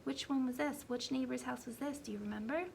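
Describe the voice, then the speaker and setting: A woman preschool teacher leading an interactive read-aloud for young children, asking questions and pausing for answers. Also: high-pitched, creaky, a little mellow, slight background noise.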